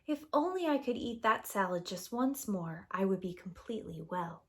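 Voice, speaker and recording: A woman's voice: mid-range voice, animated, normal speed, fairly bright tone, husky. An ailing young storybook wife, voiced by a woman narrator. She speaks weakly and with wistful longing. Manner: weak, wistful.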